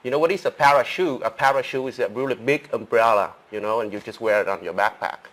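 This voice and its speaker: A man with a Vietnamese accent co-hosts a live English lesson in a mid-pitched voice. He rambles along and explains each thing in very plain terms. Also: fast talker, fairly bright tone, poor audio. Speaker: co-host.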